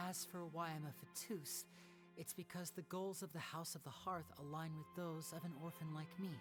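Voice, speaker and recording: An actor playing a sincere young male magician in a video game, soft-spoken, earnest and polite. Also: mid-range voice, natural voice. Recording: game music clearly behind.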